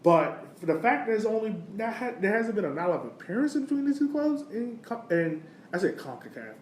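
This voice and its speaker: Male sports podcast co-host, informal and emphatic, very animated intonation, roomy sound with faint noise. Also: high-pitched, a little fast. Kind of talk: casual sports podcast chat.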